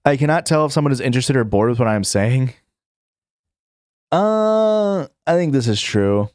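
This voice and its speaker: A man's voice: mid-range voice, animated, moderate pace, a little mellow, clear, resonant tone, dry recording, studio quality. A young adult man streaming live, reading questions aloud and answering in a rambling, casual way full of fillers and restarts. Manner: rambling, filler-heavy, self-correcting, chuckles.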